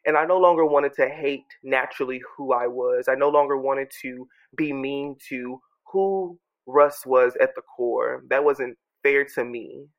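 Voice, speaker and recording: A man with a stuffy, congested voice talks softly and earnestly at home, musing in loops and often restarting his thoughts. His tone has a gravelly fry at the edges and sits in a middle range. The recording through his home microphone sounds a bit dull and lo-fi. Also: medium pitch, unhurried, hardly any pauses. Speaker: podcast host journaling aloud. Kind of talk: reflective personal audio journal. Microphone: own studio microphone.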